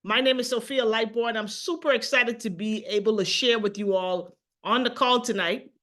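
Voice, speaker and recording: Upbeat Bahamian woman pitching sales. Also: moderate pace. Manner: energetic, persuasive, addresses the audience directly with questions. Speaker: sales presenter. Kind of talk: motivational sales pitch on a video call.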